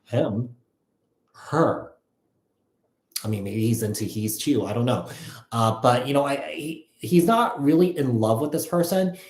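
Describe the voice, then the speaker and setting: A man giving a casual live tarot reading, thinking aloud in a halting, repetitive way. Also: mid-range voice, a little mellow. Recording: echoey, roomy sound.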